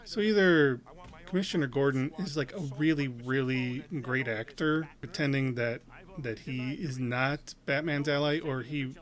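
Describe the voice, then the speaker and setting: Guy reacting to a cartoon, casual and rambly, lots of ums and likes, mid-pitched voice. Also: measured pace, a bit bright. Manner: rambling, with many fillers and restarts. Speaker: reaction video host.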